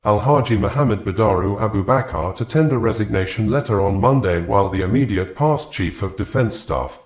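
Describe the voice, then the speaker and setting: A man reading scripted news in a formal, measured way. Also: on the low side, expressive, a little mellow, roomy sound. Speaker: news presenter.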